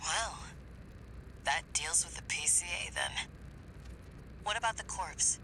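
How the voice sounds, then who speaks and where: An actor playing a wry, cynical mercenary associate in a video game. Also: male voice, on the high side, huge pitch swings, measured pace, background noise.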